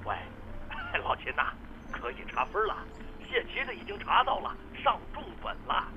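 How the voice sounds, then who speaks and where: A man's voice: sits high, wildly swooping pitch, moderate pace. A man acting a jovial, boastful middle-aged father through a telephone filter in a Chinese audio drama. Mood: jovial, proud. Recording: muffled, noisy phone-call sound.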